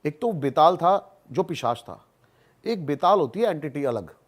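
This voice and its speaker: Higher-voiced man telling stories. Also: sing-song, moderate pace, breathy edge. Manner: colloquial, repetitive for emphasis, peppered with English words. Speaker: podcast guest, haunted-place investigator. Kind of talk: animated casual storytelling on a podcast.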